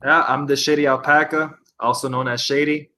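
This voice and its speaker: A man, a guest streamer, chatting in a relaxed, agreeable way with short replies. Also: on the high side, a little fast. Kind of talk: casual chat. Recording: noisy background hiss.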